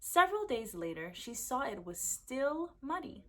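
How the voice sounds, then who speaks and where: A woman reading a folktale aloud as a storyteller, with expressive narration for children. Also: high voice, unhurried.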